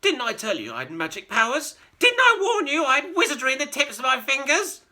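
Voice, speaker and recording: Screechy ancient grandma, wild and boastful, shrill and lively in pitch. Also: female voice, a little fast, full character voice. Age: older. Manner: shouting, cackling, bossy.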